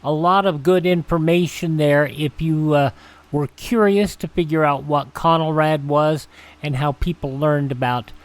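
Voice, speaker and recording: A man's voice: a little high, steady tone, a little slow. A man hosting a radio show, introducing programs in a casual, conversational way with folksy asides.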